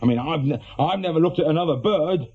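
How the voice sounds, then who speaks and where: An actor playing a jealous, aggrieved small-time crook with an English accent, talking bluntly and colloquially in a radio drama. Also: male voice, fairly high pitch, fast, breathy edge, muffled recording. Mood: bitter, wounded. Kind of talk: naturalistic British radio drama dialogue.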